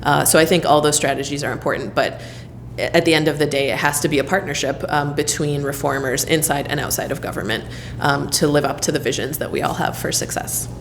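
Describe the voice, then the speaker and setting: A woman from the United States with a notably low voice answers interview questions at an easy conversational pace. She talks thoughtfully, filling her pauses with ums and you-knows. A faint hiss sits under her voice. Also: steady tone, gravelly. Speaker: interviewee, leader at a civic nonprofit hub.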